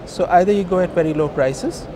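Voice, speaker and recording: A man's voice: high voice, a little fast. A man in his thirties with an Indian accent, speaking informally and emphatically as an entrepreneur being interviewed. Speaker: company co-founder being interviewed. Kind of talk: conversational business interview. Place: busy hosting industry event. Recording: noisy event location, traffic-like ambient noise.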